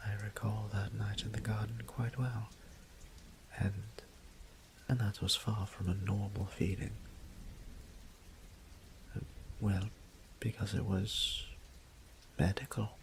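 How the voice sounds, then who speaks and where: A man playing a gentle, apologetic old vampire priest in an intimate audio drama, speaking in formal, hesitant phrases with a breathy half-whisper. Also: fairly low pitch, level tone, a little slow, a little hiss or hum, low-quality recording. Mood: hesitant, reluctant. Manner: hesitant, formal old-fashioned wording, tender.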